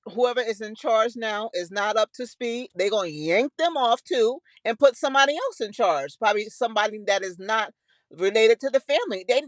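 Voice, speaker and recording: A woman's voice: mid-range voice, no echo. A woman with an American accent co-hosting a podcast, talking over her opinions in a casual, blunt and colloquial way. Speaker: podcast co-host.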